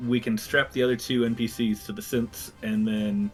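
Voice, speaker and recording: A man's voice: medium pitch, steady tone, moderate pace, rough recording. Male tabletop player, average register, casual delivery, light music in the background. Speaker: player in a tabletop roleplaying game. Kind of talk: casual tabletop game talk. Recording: faint music under the voice. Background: soft background music.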